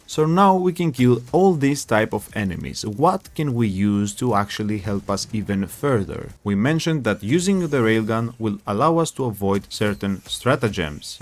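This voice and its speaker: A man from Greece with a Greek accent and non-native English, narrating a confident gameplay guide as a channel host and calling his viewers guys. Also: lowish voice.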